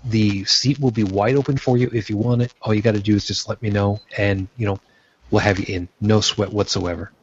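A man's voice: fairly low pitch, steady tone, brisk, slight background noise. A man from New York hosting a casual roundtable, talking bluntly and swearing freely over a video call. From New York, United States. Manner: blunt and profane. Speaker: podcast host. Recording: dull lo-fi sound.

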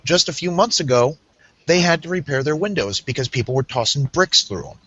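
A man's voice: fairly high pitch, animated, fairly quick, fairly bright tone, breathy edge, no echo, dull audio. A man from the Pittsburgh area co-hosting a podcast, giving blunt opinions in casual chat. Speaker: podcast co-host.

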